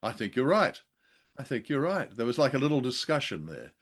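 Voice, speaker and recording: A man's voice: mid-range voice, very animated, fairly quick. An older man co-hosting a casual radio review chat, speaking in an effusive, exclamatory way. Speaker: co-host of an old-time radio review show.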